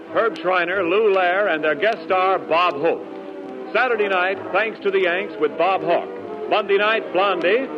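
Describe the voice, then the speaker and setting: A man working as a radio announcer, reading ads and announcements in 1940s radio style. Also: high voice. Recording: muffled 1940s radio broadcast.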